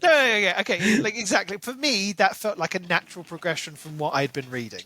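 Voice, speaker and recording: A man with a very bright, forward voice pitched a little high chats casually as a podcast co-host. He repeats words for emphasis, and the recording is dry and close. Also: expressive, fairly quick, no room sound. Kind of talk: casual book discussion chat.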